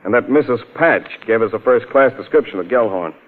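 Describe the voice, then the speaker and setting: An actor playing a tough, plain-spoken police officer in a 1940s-style radio crime drama. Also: male voice, medium pitch, lively intonation, brisk, natural voice. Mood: businesslike. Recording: muffled old-time radio recording.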